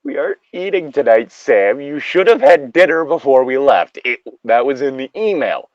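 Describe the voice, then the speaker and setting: A man's voice: medium pitch, sing-song, moderate pace, no echo, muffled recording, pitched above the performer's own voice. A man voicing an exasperated, no-nonsense male trip leader with a firm, scolding manner in a story reading.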